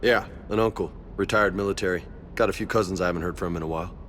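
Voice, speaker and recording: A young soldier in a science fiction game. His voice is low, with a brash, casual, slangy delivery. A faint engine hum sits behind him. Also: quick pace, fairly bright tone. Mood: relaxed. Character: cocky, easygoing young male space marine. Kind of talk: video game voice acting.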